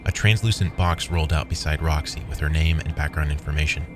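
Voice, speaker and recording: A man plays a weary, wry programmer telling his own story in a scripted audio drama. His voice sits extremely low, with clear music playing behind the narration. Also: steady tone, brisk. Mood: wry, reflective.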